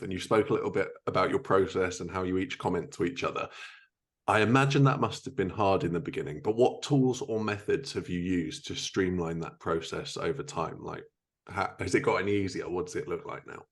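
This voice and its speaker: Male podcast host, low-pitched voice, measured, reflective, conversational interviewing.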